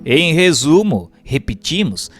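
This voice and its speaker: A Brazilian man reads a formal text as a scripted narration, in a measured and carefully phrased manner. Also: on the high side, sing-song, soft background music. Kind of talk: scripted audiobook-style reading of a doctrinal text.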